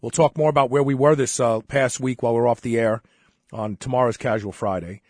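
A man's voice: mid-range voice, fairly even intonation, darkish tone, no room sound, studio quality. A man hosting a casual talk radio show, congested and nasal from a head cold, chatty and steering the talk. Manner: chatty, brisk, steers the conversation.

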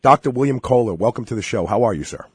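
A sick-sounding man hosts a radio health show, hoarse and husky. He talks in a chatty, emphatic way, full of fillers, and the sound is close and dry. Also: medium pitch, expressive, fast, a little mellow, dry recording. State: hoarse from illness. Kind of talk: casual radio talk.

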